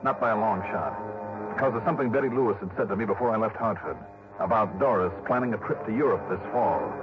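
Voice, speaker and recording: Guy playing wry old-time radio detective, low voice, clipped and probing, muffled old recording. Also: fast, natural voice. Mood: wry, matter-of-fact. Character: hard-boiled, wry male insurance investigator narrating his case. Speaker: lead actor and narrator. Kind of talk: 1960s radio detective drama acting. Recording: dull old radio recording with music behind.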